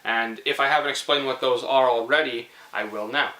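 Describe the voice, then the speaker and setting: A man's voice: mid-range voice, fairly even intonation, a little fast, bright, forceful tone, echoey room, low-quality recording. A young man from Canada hosting a casual storytelling video, talking in a playful, rambling, informal way. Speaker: host of a storytelling video series. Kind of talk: casual comic storytelling to viewers.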